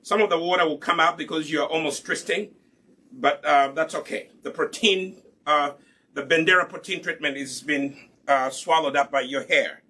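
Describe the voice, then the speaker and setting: Bright, husky man teaching hair care. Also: a little high, moderate pace. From Uganda. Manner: patient and instructive, asks rhetorical questions then answers them. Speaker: hair care instructor and product maker. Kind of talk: live online hair care demonstration.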